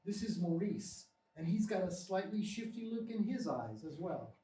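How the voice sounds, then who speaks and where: A man's voice: sits high, big pitch swings, moderate pace, dark tone. A man reading scripted screenplay narration at a live stage table read. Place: small live theatre stage. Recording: distant, echoey live theatre recording with noise.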